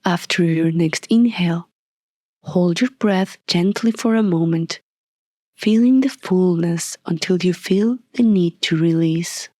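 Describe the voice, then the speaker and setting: A woman leading a guided meditation as a scripted narration, soft and breathy, calm and soothing, with deliberate long pauses. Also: fairly low pitch, dry recording, clean studio sound.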